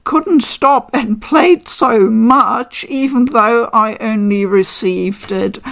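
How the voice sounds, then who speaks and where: An older woman hosting a casual unboxing review from her living room, chatty and measured with frequent pauses. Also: a little high, creaky, fairly bright tone. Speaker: game reviewer host.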